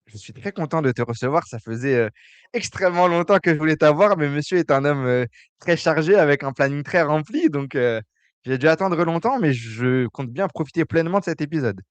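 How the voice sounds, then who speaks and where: A man's voice: fairly high pitch, animated, fairly quick, no echo. Man hosting a podcast, dry close sound. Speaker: podcast host. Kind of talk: podcast interview.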